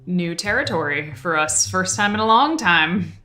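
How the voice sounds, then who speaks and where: A woman co-hosting a podcast, talking in a casual, chatty way with quick asides. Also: lowish voice, lively intonation, pushed and bright, faint music behind. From Boston area. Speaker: podcast co-host.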